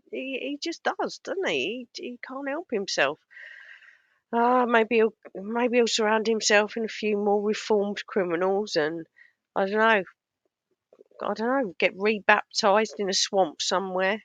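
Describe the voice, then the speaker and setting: A woman giving casual, scornful reaction commentary on a clip, a little hoarse as she loses her voice. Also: mid-range voice, a bit bright, no echo, muffled recording. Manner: scornful, sarcastic, mocking. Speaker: YouTube commentator.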